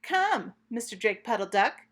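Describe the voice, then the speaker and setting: A woman's voice: fairly high pitch, big pitch swings, measured pace, a bit bright, heavily acted. A woman voicing an eager little girl kitten in a storybook, pleading and urging in a singsong way.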